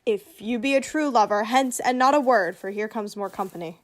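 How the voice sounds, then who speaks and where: A woman acting a witty young noblewoman disguised as a male youth in a Shakespearean radio play, with brisk, teasing, theatrical delivery. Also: a little high, close to an everyday voice. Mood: commanding.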